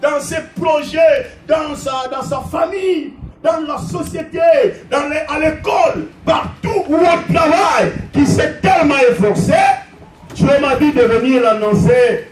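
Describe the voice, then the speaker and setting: A man delivers an impassioned sermon, his voice sitting extremely high for a man. He shouts and hammers phrases over and over. The sound is echoey and distant, with noise underneath. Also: a little slow. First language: Portuguese. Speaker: pastor. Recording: echoey room, distant mic, background noise.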